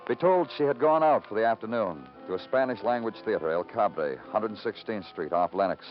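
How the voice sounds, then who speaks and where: A man's voice: lowish voice, expressive, normal speed, faint music behind, natural voice. An American man playing a hardened, brooding New York homicide detective in a vintage radio crime drama. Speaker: lead actor and narrator of a radio crime drama. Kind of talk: 1950s radio crime drama acting, noir narration. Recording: muffled, noisy old radio recording.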